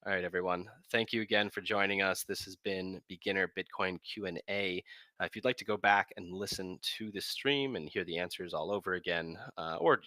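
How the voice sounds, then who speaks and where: A man's voice: deep voice, pushed and bright, no room sound. A man hosting a live audio chat room, upbeat and loose with lots of fillers. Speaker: host. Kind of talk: casual chat.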